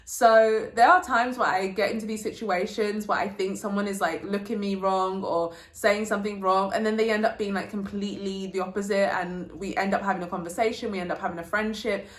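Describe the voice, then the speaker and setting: A young woman talks to her viewers in a casual, chatty vlog style, with plenty of informal slang. Her pitch sits in an ordinary range for a woman. The sound is noticeably roomy, with echo around the voice. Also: steady tone, a little fast, few pauses. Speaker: vlogger, channel host. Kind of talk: casual sit-down vlog chat, telling anecdotes. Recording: roomy, echoey indoor sound.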